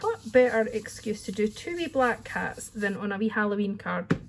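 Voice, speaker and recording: Chatty Scottish woman, bright voice. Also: on the high side, slight background noise. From Scotland. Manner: chatty, friendly, says "wee" often. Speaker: card-making tutor. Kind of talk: casual craft tutorial.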